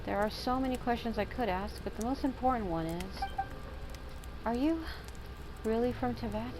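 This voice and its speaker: A woman's voice: fairly high pitch, moderate pace, background noise. A woman game streamer giving informal, reactive playthrough commentary and reading game text aloud. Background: game music.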